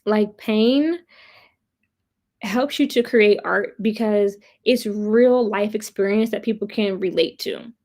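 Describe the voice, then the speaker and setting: A woman's voice: mid-range voice, slow pace, darkish tone. A woman hosting a casual livestream of commentary, chatting with viewers in a blunt, opinionated, profane way and repeating points for emphasis. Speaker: host of a pop culture commentary livestream. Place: live online stream.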